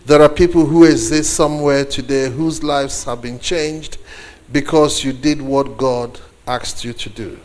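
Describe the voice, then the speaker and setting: A man with a Nigerian accent, a native Igbo speaker, preaching a sermon to a congregation as a guest, deliberately, with pauses and repeated phrases for emphasis. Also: mid-range voice, fairly even intonation. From eastern Nigeria.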